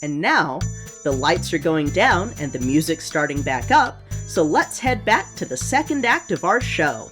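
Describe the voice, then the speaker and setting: A woman with a lowish, lively voice that moves a lot in pitch. She chats casually and enthusiastically as a podcast co-host, with faint music at times. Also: measured pace. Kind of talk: casual banter.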